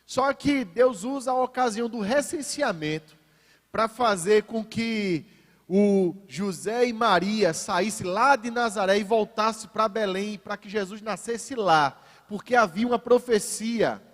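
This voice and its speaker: A man with a Northeastern Brazilian accent preaching a sermon as a pastor, phrasing deliberately and emphatically with rhetorical repetition. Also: sits high, a bit bright. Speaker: pastor preaching at a church service. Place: large indoor church, preaching to a congregation. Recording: reverberant church hall sound.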